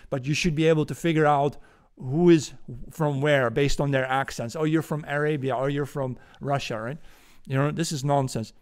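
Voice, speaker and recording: Ranting Dutch-accented man, higher voice. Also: darkish tone. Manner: indignant, ranting, gesturing with his hands. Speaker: podcast host. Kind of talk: political commentary monologue. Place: home room, indoors. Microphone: studio mic on a boom arm.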